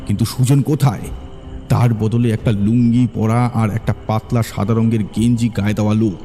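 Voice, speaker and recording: A man with a low, dark, mellow voice narrates a horror tale over faint music. His storytelling is dramatic and suspenseful. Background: faint background music.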